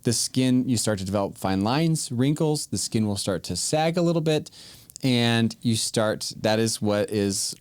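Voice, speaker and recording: A man's voice: mid-range voice, lively intonation, measured pace, no echo. A man in his thirties co-hosting a podcast as a physician assistant, easygoing and prone to joking. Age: 30s. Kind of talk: casual podcast chat.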